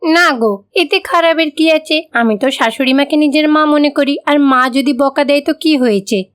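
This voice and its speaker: A woman's voice: high-pitched, normal speed, lightly acted. A woman voicing a meek, forgiving, dutiful young daughter-in-law in a cartoon. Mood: patient. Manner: submissive, soothing.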